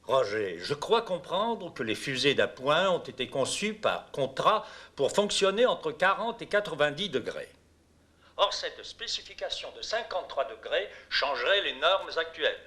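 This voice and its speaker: A man's voice: fairly high pitch, very animated, normal speed, pushed and bright. A man acting a businesslike, probing official on a teleconference in a dubbed docudrama, formal and questioning.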